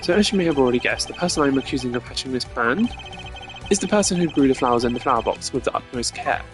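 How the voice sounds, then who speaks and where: A composed, formal prosecutor played by a man lays out arguments in a measured, declamatory style. The pitch is middling, and game music plays behind. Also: expressive, close to an everyday voice. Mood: grave, accusing.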